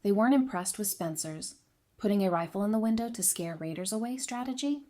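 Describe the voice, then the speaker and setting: A woman's voice: mid-range voice, lively intonation, brisk, dark tone, natural voice. A woman playing a perceptive, candid advisor in an audio drama, talking in a thoughtful, frank way. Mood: dry, approving. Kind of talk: fan audio drama dialogue.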